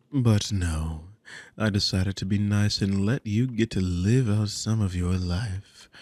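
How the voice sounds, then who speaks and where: A man's voice: low-pitched, a little mellow, dry recording. A man playing a dominant, possessive demon in an ASMR roleplay, mockingly amused and condescending, with teasing, formal, theatrical speech. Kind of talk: ASMR audio roleplay spoken to the listener.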